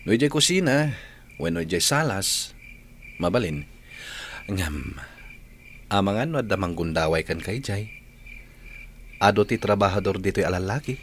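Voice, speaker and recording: A coaxing young rancher's son with an Ilocano accent. His lowish voice moves expressively in radio drama style, over faint cricket ambience. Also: expressive, normal speed, long pauses, a little hiss or hum. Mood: persuasive, reassuring. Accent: Ilocano, from the Philippines. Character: persuasive young man, wealthy rancher's son.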